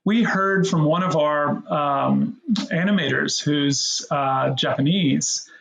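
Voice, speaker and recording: A middle-aged man in his forties, a guest co-founder talking casually in an interview, rambling and animated with many restarts. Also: on the high side, slow, vocal fry, reverberant, rough recording. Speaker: guest, co-founder of a language app.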